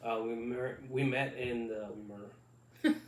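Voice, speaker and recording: Flat-voiced man on an echoey podcast. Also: medium pitch. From Puerto Rico. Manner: halting, many fillers and restarts. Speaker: podcast co-host. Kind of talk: casual chat. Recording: echoey room, mic at a distance, faint noise.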